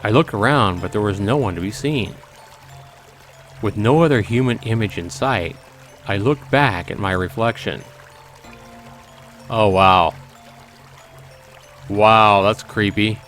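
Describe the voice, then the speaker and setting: A man's voice: on the low side, slow pace, long pauses, a bit bright, soft background music. A man hosting a let's play, reading a visual novel aloud expressively with casual commentary.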